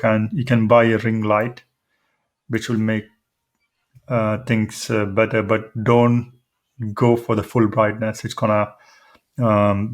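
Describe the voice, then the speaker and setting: A man presenting an informal tech tutorial, explaining things step by step in a halting, hesitant way. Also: lowish voice. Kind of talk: informal screen-demo tutorial.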